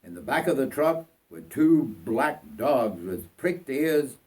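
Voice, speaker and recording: Older male narrator from northern England: unhurried, husky, animated intonation for dramatic autobiographical storytelling. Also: medium pitch. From North Yorkshire, England. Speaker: writer reading own story.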